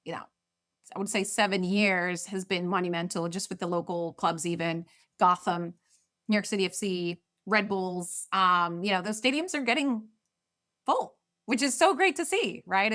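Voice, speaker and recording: Argentine-born woman, halting and earnest. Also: mid-range voice, a bit bright. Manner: hesitant, many restarts and fillers. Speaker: guest, marketing executive. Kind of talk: casual interview.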